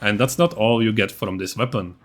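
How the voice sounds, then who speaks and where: A man's voice: on the low side, brisk, a bit bright. Casual man explaining a game build. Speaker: gaming video creator.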